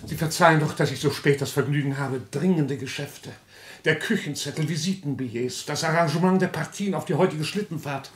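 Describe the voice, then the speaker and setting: Man acting a high-voiced, echoey, foppish courtier. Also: brisk, close to an everyday voice. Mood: gushing, self-important. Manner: affected, effusive, pompous. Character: foppish, vain, fussy, gushing court marshal. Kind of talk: 1950s German radio play acting.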